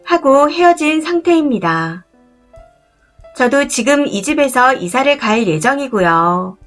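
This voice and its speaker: A woman narrating a first-person story in a calm, even scripted read. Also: high voice, unhurried. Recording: soft music behind the voice. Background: faint background music.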